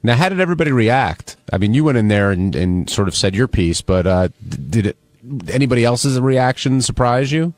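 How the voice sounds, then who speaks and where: A man's voice: mid-range voice, lively intonation, fairly quick, no echo. A man hosting a radio talk show, moderating the discussion and searching for his words as he goes. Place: radio studio. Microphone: close studio microphone.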